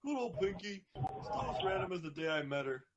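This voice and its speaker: A man voicing a young male cartoon dragon, a worried friend who speaks haltingly and earnestly. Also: high-pitched, animated, lo-fi sound, heavy background noise, voice pushed up. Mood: relieved.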